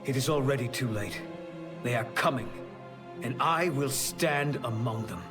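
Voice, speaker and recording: A man's voice: mid-range voice, unhurried, close to an everyday voice. A man voicing an anguished winged disciple who is losing his faith, in a dramatic video game performance. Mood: grim resolve. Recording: game audio under clear music.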